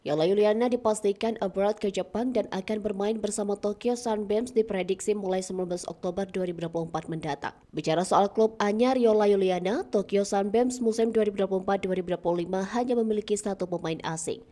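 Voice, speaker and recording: A woman reading a scripted sports news report in an even, read-aloud manner, her voice dropping at the ends of sentences. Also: mid-range voice, quick pace, few pauses. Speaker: news narrator.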